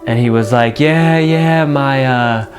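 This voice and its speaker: A man's voice: mid-range voice, slow. American man telling a story over music. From New York. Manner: conversational, re-enacts dialogue. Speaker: filmmaker and narrator. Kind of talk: casual personal storytelling.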